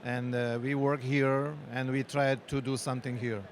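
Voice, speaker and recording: Ukrainian-accented man, halting English. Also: mid-range voice, little pitch movement. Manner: halting non-native English, frequent pauses and fillers. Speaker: company founder and researcher. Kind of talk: conference interview. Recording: faint street and vehicle noise.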